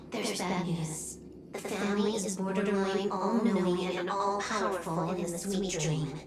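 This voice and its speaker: A woman playing a cool, pragmatic female accomplice in a video game, measured, laconic and matter-of-fact. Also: mid-range voice, steady tone, echoey room, far from the mic. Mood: grave, frank.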